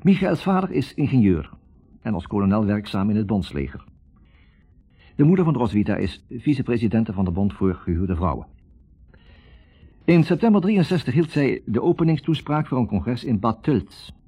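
An actor playing a writer who recounts his own investigation in a measured, reflective way, in the style of 1960s radio drama narration. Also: mid-range voice, very animated, dark tone, slightly rough, soft background music. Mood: matter-of-fact. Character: troubled male writer recounting his taped investigation of a couple. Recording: muffled 1960s radio recording.

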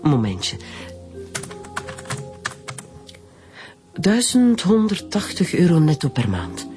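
A woman's voice: low-pitched, wildly swooping pitch, unhurried, music in the background, full character voice. A woman playing a curt, guarded business owner questioned by police in a radio drama. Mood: cool, formal. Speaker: actor in a radio crime serial. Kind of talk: naturalistic radio drama acting.

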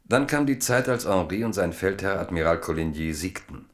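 Male narrator for radio drama: very low voice, measured literary delivery. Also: animated. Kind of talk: scripted narration.

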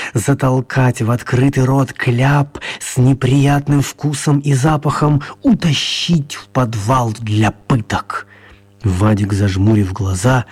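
Husky male storyteller, mock-serious. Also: medium pitch, darkish tone, no echo. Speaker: narrator. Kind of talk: scripted story narration for children's radio play.

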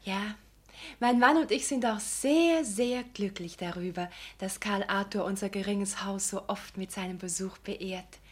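A woman's voice: mid-range voice, unhurried, lightly acted. An actress playing a pious, fawning married woman in a radio drama, hesitant and over-polite, with diction. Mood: meekly evasive. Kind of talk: German radio drama acting.

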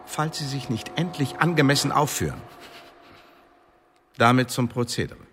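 An actor playing a stern, domineering interrogator in a historical radio drama, speaking in a curt, commanding, officious way. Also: male voice, medium pitch, moderate pace, a bit bright, slight background noise, close to an everyday voice. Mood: stern, menacing. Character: stern, domineering male interrogator of an Elizabethan secret tribunal.

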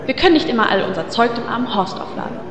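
A woman's voice: fairly high pitch, very animated, very fast, roomy sound, in a large hall, crowd noise. An actress playing a vain, bossy young female elf healer. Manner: bossy, indignant.

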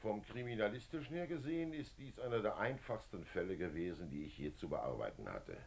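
An actor playing a calm, middle-aged male police commissioner in a 1950s German radio play, explaining in a measured, authoritative way. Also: on the low side, vocal fry, slight background noise. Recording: 1950s radio drama recording.

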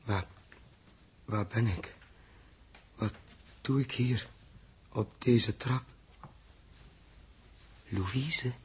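An actor playing a bewildered space captain of twenty-eight, halting and weary, in a 1960s radio drama. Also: male voice, mid-range voice, a little mellow, breathy edge, exaggerated voice. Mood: dazed, bewildered. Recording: noisy old radio recording.

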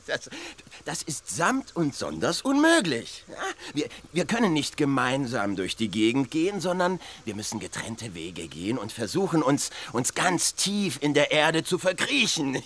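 An actor playing a sly, impatient escaped convict in a radio play, with a mock-polite manner. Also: male voice, mid-range voice, very animated, a little slow, a bit bright, heavily acted. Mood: mocking, evasive. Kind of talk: German radio play acting.

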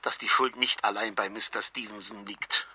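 Insistent chemist, sharp husky voice. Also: male voice, fairly low pitch, steady tone, heavily acted. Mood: grave, hesitant. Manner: urgent, formal, deliberate. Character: earnest, insistent male chemist with grave news. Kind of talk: 1970s German radio thriller acting.

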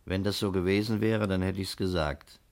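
Low-voiced, courteous male investigator. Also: fairly even intonation, a little mellow. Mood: firm, matter-of-fact. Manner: polite, measured, factual. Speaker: radio drama actor. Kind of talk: 1960s German radio crime drama acting.

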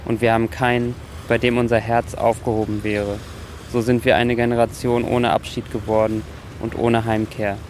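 A man's voice: medium pitch, little pitch movement, normal speed, a little mellow, a little hiss or hum. A man speaking in an earnest, reflective and literary way. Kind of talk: reflective account and solemn literary reading.

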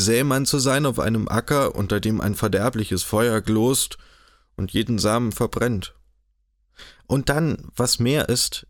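A man's voice: lowish voice, dry recording, clean studio sound, close to an everyday voice. A man voicing an earnest, defiant middle-aged professor in an audiobook, speaking hastily. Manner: hasty and defiant.

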